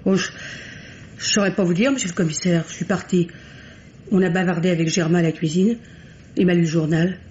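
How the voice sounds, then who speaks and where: A woman's voice: on the low side, steady tone, brisk, long pauses, darkish tone, close to an everyday voice. A woman playing a nervous, deferential household maid, hesitant and simply spoken, in old French radio mystery acting. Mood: guarded, deferential. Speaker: radio actor. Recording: muffled, noisy old radio recording.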